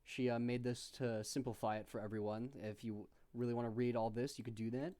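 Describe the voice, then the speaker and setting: A man giving an informal, offhand software tutorial with slangy asides. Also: medium pitch, flat delivery, brisk. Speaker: tutorial host. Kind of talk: casual software tutorial narration.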